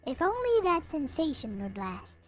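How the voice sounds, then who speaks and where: A woman reading aloud as a despairing young visual novel narrator, with dramatic, deliberate recitation. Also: high voice, very animated, slight background noise. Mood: wistful. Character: despairing young narrator losing eyesight, wistful and bitter. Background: game music.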